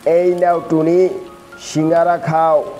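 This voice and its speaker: A man acts out a kindly talking tree in a fairy-tale cartoon. The voice sits fairly high for a male and moves slowly with little rise and fall. It is soothing and deliberate. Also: level tone, mellow, muffled recording, faint music behind. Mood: gently reassuring. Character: kind magical talking samosa tree, male, gently reassuring. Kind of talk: slow children's fairy-tale cartoon dubbing.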